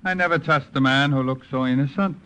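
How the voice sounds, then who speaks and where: A man acting as a gruff, distrustful Gestapo sergeant in an old-time radio drama. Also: mid-range voice, fairly quick, fairly bright tone, muffled recording, natural voice. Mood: smug. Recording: very noisy old radio recording, clicks.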